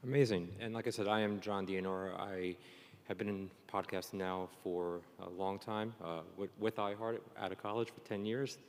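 A man's voice: lowish voice, steady tone, moderate pace, slight background noise. A man in his thirties moderates a live panel informally, chatty and jokey. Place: on stage at a conference session. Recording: reverberant conference room sound.

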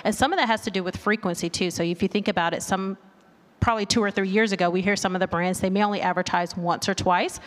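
A woman's voice: mid-range voice, fairly even intonation, fast talker. A woman presenting at a conference in an informal, chatty, unscripted way. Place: conference hall with audience. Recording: reverberant hall.

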